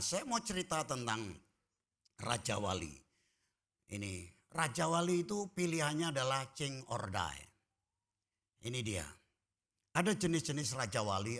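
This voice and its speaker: A man preaching to his congregation, his voice bright and forward. He jokes with the people in the pews, then climbs into loud, shouted emphasis before dropping back to quiet asides. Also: medium pitch. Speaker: pastor. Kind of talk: sermon. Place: church.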